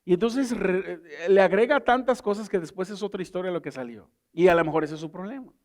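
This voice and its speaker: A man with a high-pitched voice preaching to a church congregation. He talks conversationally, firing rhetorical questions and acting out small everyday scenes to make his points. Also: a little fast. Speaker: pastor. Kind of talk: sermon. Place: church service.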